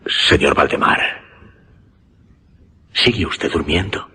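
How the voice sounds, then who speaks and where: Deep, dramatic Castilian mesmerist. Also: male voice, pushed and bright, husky, full character voice. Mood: grave, measured. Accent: Castilian Spanish. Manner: deliberate, suspenseful, dramatic pauses. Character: grave mesmerist recounting an uncanny hypnosis case. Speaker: narrator-protagonist. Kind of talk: Spanish suspense radio drama storytelling. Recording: noisy radio drama recording.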